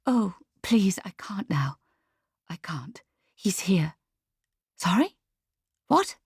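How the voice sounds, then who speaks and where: A woman voicing an anxious, flustered artist mother in an audiobook, speaking in a hushed, halting, breathy way. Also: lowish voice, expressive, dry recording, studio quality, voice pushed up, heavily acted.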